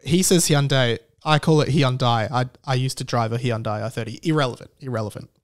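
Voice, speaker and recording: A man's voice: medium pitch, brisk. An Australian man in his thirties hosting a podcast, talking casually in a rambling, wry, mock-serious way. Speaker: podcast host. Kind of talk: casual solo podcast commentary.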